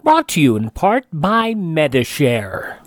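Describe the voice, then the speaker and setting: A man's voice: fairly high pitch, wildly swooping pitch, takes it slowly, no room sound, studio quality. A man hosting a radio program, announcing from a script.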